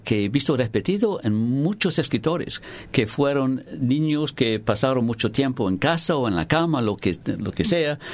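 A middle-aged man with a Glasgow accent, a writer interviewed in Spanish, which he speaks haltingly as a non-native with many restarts and fillers. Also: mid-range voice. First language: English. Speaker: writer and guest.

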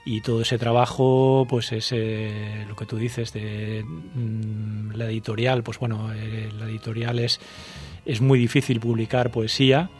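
Male poet guest from the Valencia region, flat even delivery, thoughtful, pauses a lot. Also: lowish voice, soft background music. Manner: measured, thoughtful, frequent hesitations. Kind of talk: radio interview answers.

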